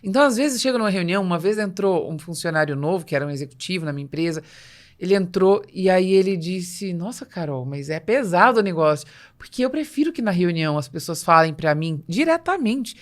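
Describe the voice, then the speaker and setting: A woman's voice: on the low side, expressive, brisk. A Brazilian woman hosting a conversational interview, explaining things assertively and acting out quoted remarks. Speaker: podcast host.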